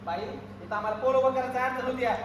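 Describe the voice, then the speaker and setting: A man's voice: extremely high pitch, steady tone, very fast. A man playing a bossy work-crew foreman who cajoles and commands, in an amateur stage play recorded in an echoey hall. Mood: exasperated, coaxing. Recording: echoey hall from far off, noisy audience.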